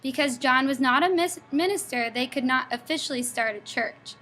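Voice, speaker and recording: A woman's voice: high-pitched, moderate pace, bright, forceful tone. A young woman from Texas reading her school family-history presentation in a scripted recitation. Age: young adult. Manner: scripted recitation with a playful aside. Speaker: student presenter.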